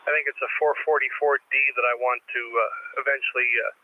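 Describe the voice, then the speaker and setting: A man's voice: medium pitch, fairly even intonation, brisk, no room sound. A man with a thin, tinny voice chatting casually as an amateur radio operator over a radio link. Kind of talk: casual ham radio chat. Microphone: studio dynamic mic into a radio transceiver. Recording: tinny narrow-band radio link with static.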